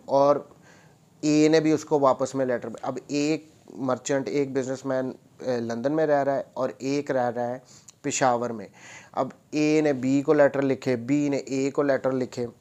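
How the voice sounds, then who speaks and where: A man with a Pakistani accent lecturing on a text, reading passages aloud and explaining them step by step in a deliberate, repetitive way. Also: mid-range voice, darkish tone. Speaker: law lecturer.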